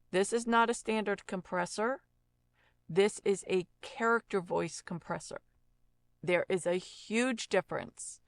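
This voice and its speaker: A woman hosting an informal audio software tutorial, explaining step by step in a conversational, unhurried way. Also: medium pitch, clear, resonant tone, no echo. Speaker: audio effects tutorial host, voice-over artist.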